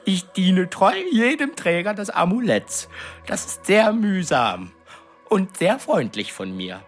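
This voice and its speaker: Radio drama creature role: cheeky, servile little demon, lowish pitch with very animated swoops, self-important courtly phrasing. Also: female voice, slow pace, faint music behind. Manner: pompous, flowery, servile courtly address. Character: small fat warty green demon servant, fawning, cheeky, self-important.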